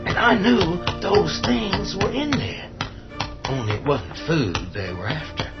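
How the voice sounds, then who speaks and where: An actor playing a steady but shaken man under siege in a radio horror drama, speaking in an urgent, halting and reassuring way. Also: on the high side, full character voice. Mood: grim, haunted. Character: steady, shaken man barricading a farmhouse against the undead. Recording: dull recording with music underneath.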